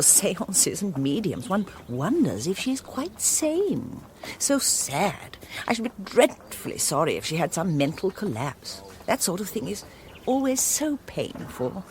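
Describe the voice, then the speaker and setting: A woman's voice: mid-range voice, darkish tone. A grand, condescending upper-class English lady of middle age in a radio comedy. Her speech swoops and drawls with affected sweetness, over faint hiss. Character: haughty middle-aged society queen, grand and cuttingly condescending. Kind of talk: British radio comedy acting.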